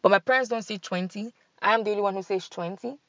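A young woman with a Nigerian accent hosting a podcast in casual, animated chat. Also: medium pitch, fast talker, no room sound, muffled recording. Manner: chatty, animated, laughs and switches into pidgin. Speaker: podcast host.

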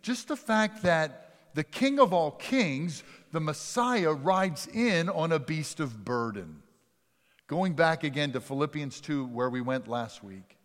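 A man preaching a sermon as pastor, talking conversationally and dropping from loud to hushed for emphasis. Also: fairly high pitch, expressive, slow. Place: church sanctuary. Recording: long reverb of a church sanctuary.

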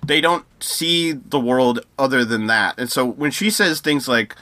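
Bright-voiced sarcastic man ranting. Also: mid-range voice, unhurried, dry recording. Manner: ranting, trailing restarts, sarcastic. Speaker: podcast co-host. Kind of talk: casual chat.

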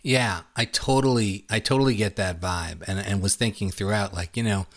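A man hosting a casual film interview, phrasing his questions in a halting, reflective way. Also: lowish voice. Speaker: interview host.

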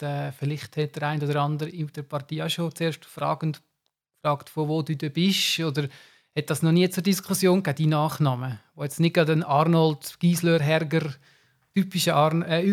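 A man interviewing a political candidate, asking long, winding questions. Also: fairly high pitch.

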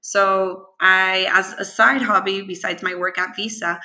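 A woman in her thirties from Venezuela, a startup founder talking casually as a podcast guest, relaxed and chatty. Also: mid-range voice, measured pace. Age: 30s. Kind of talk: casual interview talk.

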